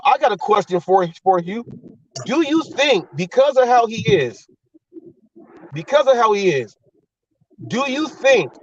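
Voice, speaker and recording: Heated high-voiced man, blunt and profane. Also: no echo, far from the mic, highs cut off. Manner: blunt, swearing, repeats himself when worked up. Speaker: host. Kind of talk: heated casual talk show chat.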